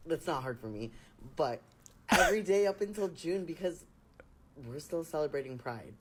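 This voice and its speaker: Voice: medium pitch, lively intonation, moderate pace. A speaker introducing themself in a short social media clip, playful and cheerful.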